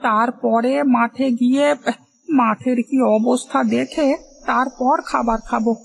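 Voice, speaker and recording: A woman as a high, creaky, fretful farmer. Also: steady tone, measured pace, low-quality recording. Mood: worried. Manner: plaintive, repeats phrases anxiously. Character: fretful, hard-working male village farmer, a husband. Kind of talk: cartoon moral-story dialogue.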